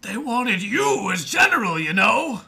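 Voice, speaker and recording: A man voicing an old male hound general who is hearty, blustering and slipping into confusion, with a raspy, gravelly voice. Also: big pitch swings, a little slow, sharp tone, raised voice, exaggerated voice. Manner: booming, jovial, drifts into confusion. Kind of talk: fan audio drama reading.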